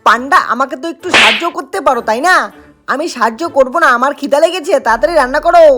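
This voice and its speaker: A woman voicing all the characters of a cartoon story, playful and quick to switch between childlike figures. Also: high voice. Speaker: cartoon voice artist and channel narrator. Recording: over loud background music.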